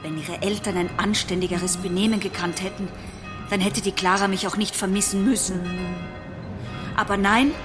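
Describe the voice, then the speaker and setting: An actress playing an indignant, accusing young daughter in a radio drama. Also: female voice, mid-range voice, fairly even intonation, moderate pace, natural voice. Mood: defensive. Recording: clear music behind the voice.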